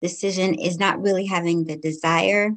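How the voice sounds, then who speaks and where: A woman's voice: lowish voice, steady tone, normal speed. A woman in her forties from Guyana, raised in the United States, answering interview questions in a thoughtful, candid way. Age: 40s. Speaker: podcast guest.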